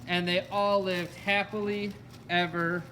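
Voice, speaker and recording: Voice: medium pitch, level tone, unhurried, slight background noise, low-quality recording. A storyteller narrating a fairy tale for a children's puppet show. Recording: loud music alongside the voice. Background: music.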